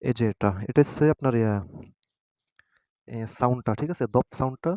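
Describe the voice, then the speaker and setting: A man hosting a casual step-by-step tutorial, often pausing to check that listeners follow. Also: medium pitch, dark tone, no echo. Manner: repeatedly adds a checking tag question. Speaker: tutorial host. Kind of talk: casual screen-guided app tutorial.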